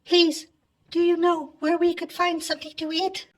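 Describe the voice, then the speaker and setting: A man's voice: very high voice, level tone, moderate pace, a bit bright, voice pushed up. A man voicing a hungry, pleading scavenger mother in a storybook, speaking imploringly.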